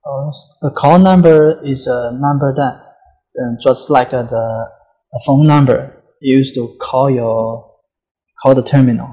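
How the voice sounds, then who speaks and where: Slow, flat, subdued male software instructor. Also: medium pitch, low-quality recording. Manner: halting, often pausing mid-sentence. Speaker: software tutorial presenter. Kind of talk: unscripted step-by-step software walkthrough.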